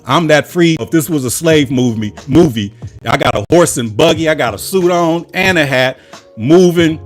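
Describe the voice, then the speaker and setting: An American man hosting his own political commentary channel, delivering an impassioned monologue full of rhetorical repetition. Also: medium pitch. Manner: preachy, with rhetorical repetition and emphatic lists.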